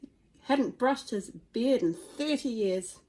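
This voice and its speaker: Expressive woman reading a children's story. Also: fairly high pitch, slow pace, poor audio. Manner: expressive, dramatic emphasis. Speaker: storybook reader. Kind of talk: children's story read aloud.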